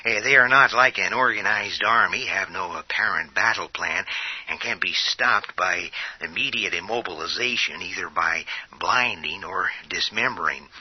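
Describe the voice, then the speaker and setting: A man playing a grave news announcer in a radio drama, reading an emergency bulletin in a formal, measured, hesitant way. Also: low voice, breathy edge. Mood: grave, urgent. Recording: dull lo-fi audio.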